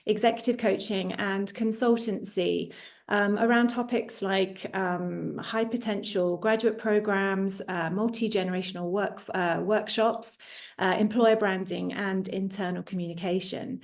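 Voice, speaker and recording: A British-accented woman in her forties speaking as an executive coach in an online masterclass, often pausing on filler sounds. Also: mid-range voice, fairly even intonation. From United Kingdom. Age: 40s. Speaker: executive coach and guest presenter. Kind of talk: introducing herself in a live online masterclass. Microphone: video call.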